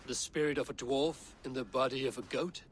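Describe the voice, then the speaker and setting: A man's voice: medium pitch, fairly quick, a little hiss or hum, close to an everyday voice. An actor playing a grave, authoritative Norse god-king, stern and wary, in a video game cinematic. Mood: grave, commanding.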